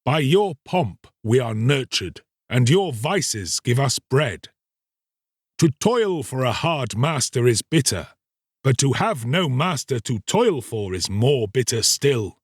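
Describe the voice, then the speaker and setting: Guy doing a bitter poor man in a fairy tale, slow and challenging, raspy edge, old-timey words. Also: medium pitch, big pitch swings, dry recording, studio quality, full character voice. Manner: rhetorical, challenging, archaic speech.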